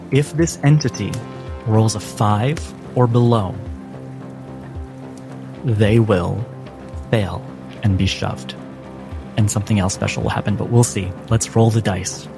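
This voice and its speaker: A man running a tabletop game as game master, switching between rules talk and deliberate, dramatic narration. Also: on the low side, fairly even intonation, vocal fry. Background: background music.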